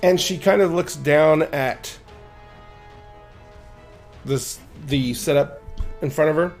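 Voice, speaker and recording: A man's voice: on the high side, slow, soft background music. A man narrating a tabletop game as game master, casual and hesitant with many fillers.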